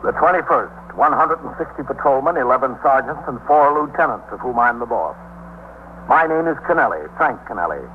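A man's voice: medium pitch, natural voice. An actor playing an authoritative, matter-of-fact male police captain in a 1950s radio police drama, speaking in a clipped way. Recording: muffled narrowband 1950s radio, faint hum.

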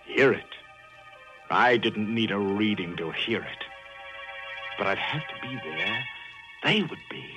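An actor narrating as a cold, obsessed murderer in a 1940s radio drama, brooding and menacing. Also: male voice, fairly low pitch, lively intonation, slow, long pauses. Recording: music behind old radio recording.

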